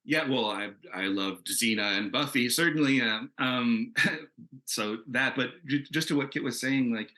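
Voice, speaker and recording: A man's voice: medium pitch, measured pace, sharp tone. A man talking casually as a comics creator on a panel, in an enthusiastic, rambling way.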